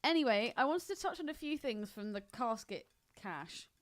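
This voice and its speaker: A woman's voice: on the high side, very animated, moderate pace. A woman co-hosting a podcast, chatting casually in a blunt, teasing way. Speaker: podcast co-host. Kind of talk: casual bantering chat.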